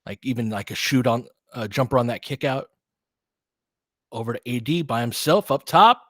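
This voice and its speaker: A man's voice: medium pitch, moderate pace, fairly bright tone, dry recording, clean studio sound. A man doing live basketball play-by-play as a partisan fan, in short clipped phrases with casual, slangy exclamations and bits of analysis. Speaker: fan play-by-play commentator and host.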